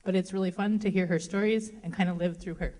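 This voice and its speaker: A woman hosts a live event and introduces the next speaker in a mellow, measured voice. The sound carries the long echo of a big hall. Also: lowish voice, fairly even intonation. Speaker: event host. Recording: echoey hall.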